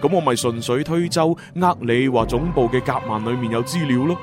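An actor with a Hong Kong Cantonese accent playing a cocky, boastful young agent from the future in a radio drama. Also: male voice, medium pitch, brisk, natural voice. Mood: smug, explaining. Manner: animated, boastful storytelling. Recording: music behind the voice. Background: background music.

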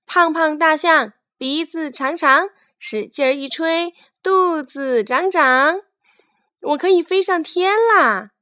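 Woman voicing an eager, high-pitched little girl balloon. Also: takes it slowly, no room sound, clean studio sound, heavily acted. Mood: elated, chanting. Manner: excited, sing-song chanting and pleading. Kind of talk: children's storybook character voice.

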